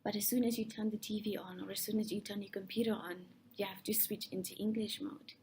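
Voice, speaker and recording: A young woman from South Africa, whose first language is Afrikaans, answers questions in a soft, breathy, thoughtful way, often restarting her sentences. Her voice sits at an ordinary middle pitch. A faint hiss runs underneath the recording. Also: level tone, quick pace. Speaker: interviewee. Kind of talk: casual interview answers.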